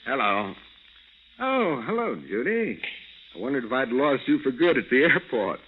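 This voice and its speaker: An actor playing a confident, wisecracking adventurer, brisk and wry, in a 1940s radio serial. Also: male voice, medium pitch, very animated, close to an everyday voice. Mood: suave, wry. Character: confident, wisecracking male adventurer, leader of the pair. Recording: noisy, tinny old-time radio recording.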